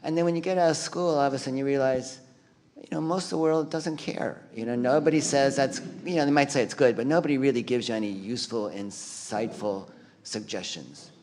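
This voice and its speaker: A man artist on a panel, speaking in a relaxed, meandering way with many fillers. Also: mid-range voice, fairly quick, big-room sound. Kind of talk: casual panel discussion.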